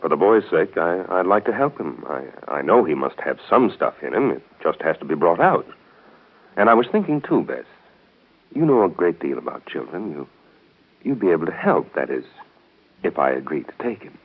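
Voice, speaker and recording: Deep-voiced earnest suitor, old radio. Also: male voice, sing-song, full character voice. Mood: earnest, hopeful. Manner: halting, repeats opening words. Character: earnest, hesitant, distrustful man, devoted suitor. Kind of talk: 1930s radio soap opera acting. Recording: tinny, band-limited 1930s radio broadcast.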